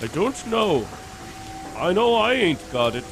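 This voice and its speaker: An actor playing a callous, gossiping merchant in an audio drama, with a mocking, folksy manner. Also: male voice, high voice, very animated, unhurried, fairly bright tone, slight background noise, full character voice.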